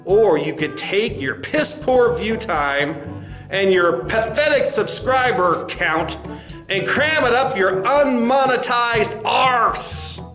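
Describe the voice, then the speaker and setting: A man's voice: high-pitched, expressive, takes it slowly, a bit bright, exaggerated voice. A man playing a clown corporate spokesman in a comedy sketch, with a mocking, condescending, emphatic delivery. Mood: scornful, gloating. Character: smug, taunting clown corporate spokesman of a video site. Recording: roomy echo with faint music behind.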